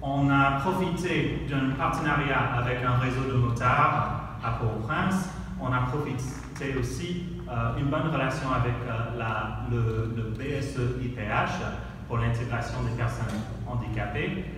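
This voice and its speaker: A man whose first language is English gives a conference slide presentation as a country director, halting often with fillers while he searches for words. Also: mid-range voice. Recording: echoey hall from far off, noisy.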